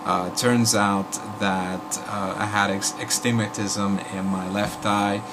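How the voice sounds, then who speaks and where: A man with a raspy voice from a sore throat, chatting casually to viewers and rambling with many fillers. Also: on the low side, little pitch movement, takes it slowly, music in the background. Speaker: YouTube host. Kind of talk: casual vlog commentary.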